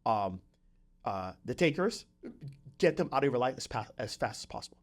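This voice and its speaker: A man's voice: mid-range voice, big pitch swings, normal speed. A man hosting a podcast in a conversational motivational style, repeating phrases for emphasis and often checking in with the listener. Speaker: podcast host.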